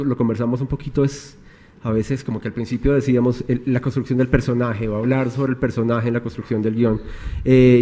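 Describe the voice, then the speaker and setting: A man with a mellow, relaxed voice hosts a panel discussion. He introduces his guests with frequent fillers, false starts and self-corrections. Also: medium pitch. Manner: hesitant, many fillers and restarts. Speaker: moderator. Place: conference room with audience.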